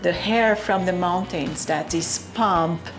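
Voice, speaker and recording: Belgian-accented woman, halting and low. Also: music in the background, low-quality recording. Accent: Belgian French. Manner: halting, many fillers and restarts. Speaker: cheesemaker. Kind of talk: casual explanation during a tour.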